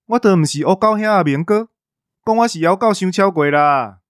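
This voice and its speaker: A man's voice: high-pitched, lively intonation, moderate pace, no room sound, clean studio sound. A man with a Taiwanese accent co-hosting with casual comic banter, playfully whining and complaining. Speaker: co-host.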